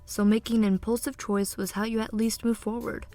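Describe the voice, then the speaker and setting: Woman doing sensible young illusionist girl, audio drama, a bit high pitched, dryly wry. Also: little pitch movement, fairly quick, warm tone, faint music behind, close to an everyday voice. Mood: reasonable. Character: sensible, gently chiding young female illusionist student. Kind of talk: audio drama character banter.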